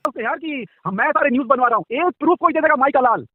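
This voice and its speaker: A man complains heatedly over a thin, phone-like recording. His voice is extremely high and fast. He sounds indignant and challenging throughout. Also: expressive, dry recording. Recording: tinny phone-line clip.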